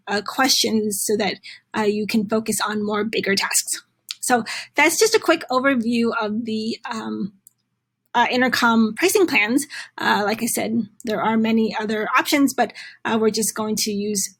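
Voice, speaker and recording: Woman, fairly high voice, tutorial presenter, conversational, halting phrasing, explaining step by step.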